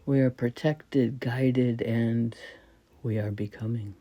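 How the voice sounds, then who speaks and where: A woman leading a guided meditation in a soft, breathy voice that often falls toward a whisper, speaking reverently in short, measured phrases. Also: extremely low pitch, fairly even intonation, highs cut off, soft background music. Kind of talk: slow spoken guided meditation.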